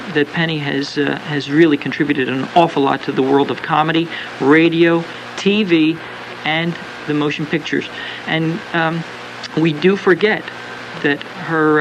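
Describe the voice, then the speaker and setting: A man giving earnest radio interview remarks, speaking haltingly and repeating himself. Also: on the high side, vocal fry, muffled recording. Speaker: interview guest, fan club member. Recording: noisy home cassette of radio broadcast.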